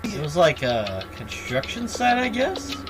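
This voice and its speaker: A man voicing a dim, excitable young slacker in a video game, vague and rambling. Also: fairly high pitch, measured pace. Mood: vague, unsure. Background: game music.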